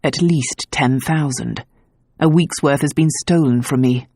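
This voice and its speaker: A man doing a gruff nobleman husband in a romance audiobook, low and tender with his wife but hard and cold with his rivals. The voice is steady and mid-pitched, recorded dry and close with no room sound. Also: a little slow, no room sound. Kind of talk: audiobook dialogue.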